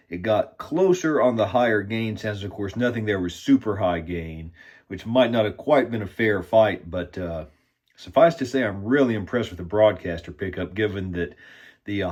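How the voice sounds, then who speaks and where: Low-voiced man reviewing guitar gear. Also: a little mellow, highs cut off. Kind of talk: casual product review and demo talk.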